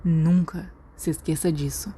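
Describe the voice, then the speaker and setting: A woman's voice: deep voice, steady tone, a little slow, warm tone, breathy edge, heavily acted. A Brazilian woman voicing a sardonic, menacing teenage wizard schoolboy in an audiobook, with a cool, cutting manner. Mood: quiet menace.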